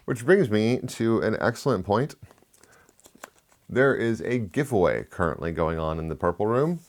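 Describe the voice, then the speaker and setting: A man with a lisp hosting a casual product review, chatty and rambling with frequent asides. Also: low voice. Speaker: tech reviewer, channel host.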